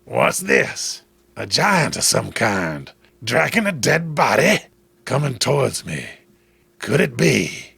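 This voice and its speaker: A man's voice: mid-range voice, big pitch swings, slow pace, gravelly, bright, forceful tone, husky, no room sound, pitched below the performer's own voice, exaggerated voice. A man voicing an ancient, righteous alien lord disguised as an old recluse, solemn and declamatory, in a horror comic. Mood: wary.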